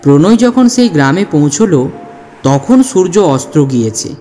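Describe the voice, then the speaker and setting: A man's voice: a little high, big pitch swings, a little slow, dark tone. A man reading a scripted ghost story as narrator, with dramatic, suspenseful delivery. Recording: long hall reverb, music underneath. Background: music.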